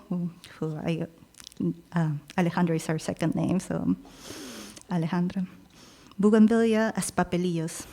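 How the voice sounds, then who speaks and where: Slow, measured woman poet reading. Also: mid-range voice. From Peru. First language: Korean. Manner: slow, measured delivery with soft pauses between lines. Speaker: poet reading her own work. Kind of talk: poetry reading.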